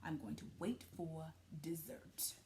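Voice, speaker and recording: A woman voicing a charming, falsely polite male wolf in a storybook, flattering and drawing out the words. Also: deep voice, noisy background, voice pushed down. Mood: sly, menacing. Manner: smooth-talking, drawn-out, flattering. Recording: roomy, echoey sound.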